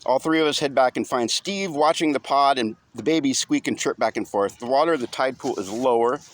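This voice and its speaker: Male memoir reader, mid-pitched, casual and wry, conversational storytelling. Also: a little fast, no echo. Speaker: audiobook narrator. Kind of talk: first-person storytelling, conversational reading.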